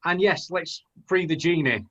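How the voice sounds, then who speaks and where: Guy as earnest young panto hero, bit high pitched, reciting rhymes, muffled call audio. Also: measured pace, sharp tone. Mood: determined. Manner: declaiming rhymed lines earnestly. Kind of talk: amateur pantomime on a video call. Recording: dull lo-fi video call audio.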